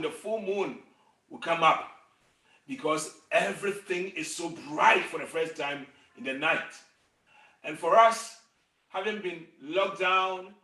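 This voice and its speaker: A middle-aged man with a bright, high voice teaches a song game in an encouraging, gentle way, taking his time over every phrase. He is heard from some distance off, in a roomy, echoey space on a video call. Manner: gentle, encouraging, leads call-and-response singing. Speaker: music and storytelling teacher. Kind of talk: singing game lesson for children. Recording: roomy sound from a distant mic.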